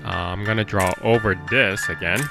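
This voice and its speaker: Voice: low voice, steady tone, moderate pace, vocal fry, over music. A storyteller and drawing teacher talking to children in an informal way, often thinking aloud.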